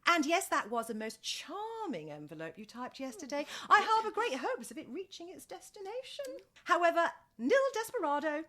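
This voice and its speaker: Actress as middle-aged British businesswoman, sardonic, grandiloquent, highish voice, swooping intonation, radio comedy. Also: big pitch swings, moderate pace, bright, forceful tone, full character voice. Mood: wry, grandiose. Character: theatrical, wry middle-aged woman running a struggling dating agency. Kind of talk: radio comedy play acting.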